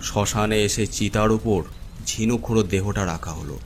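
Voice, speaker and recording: A man's voice: fairly low pitch, moderate pace, a little hiss or hum. A man narrating a scripted first-person horror story as its storyteller. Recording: clear music bed under narration. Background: background music.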